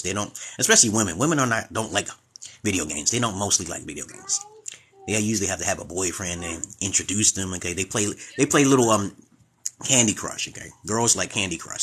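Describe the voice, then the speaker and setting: A man's voice: deep voice, fairly bright tone, breathy edge. A man in his forties from Brooklyn, hosting a casual livestream and rambling from topic to topic. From Brooklyn, New York, USA. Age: 40s. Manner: rambling, loses his train of thought. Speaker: livestream host.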